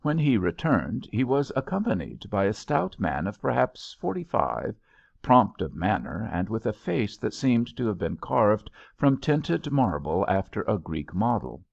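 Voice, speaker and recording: A man reading literary fiction aloud as an audiobook narrator, in an expressive, measured storytelling manner, on a slightly dull recording. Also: lowish voice, creaky, dry recording. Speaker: audiobook reader.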